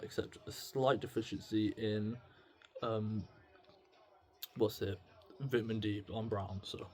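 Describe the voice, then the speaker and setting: A young man with a slightly husky voice, rambling through a self-deprecating, slangy, sweary confessional video diary. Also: lowish voice, darkish tone. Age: young adult. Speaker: solo video diarist. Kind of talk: rambling, confessional casual chat to camera.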